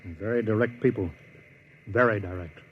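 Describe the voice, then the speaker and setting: An actor playing a stern, weary, resolute starship commander in a 1950s radio drama, authoritative and deliberate. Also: male voice, on the low side, phone-quality sound, a little hiss or hum, lightly acted. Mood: grim, urgent.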